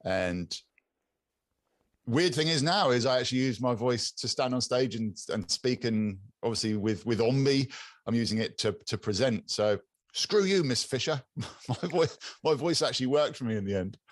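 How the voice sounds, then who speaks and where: A British man with a low, raspy but clear voice, chatting as a podcast guest over a video call and often repeating words as he searches for them. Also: lively intonation, moderate pace, dry recording, clean studio sound. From England. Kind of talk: casual interview chat.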